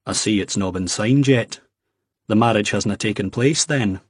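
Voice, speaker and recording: Male narrator as a guarded young Scottish man, low and husky, blunt, careful questions, dry close sound. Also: moderate pace, no echo. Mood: wary probing. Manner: careful, dry, politely probing.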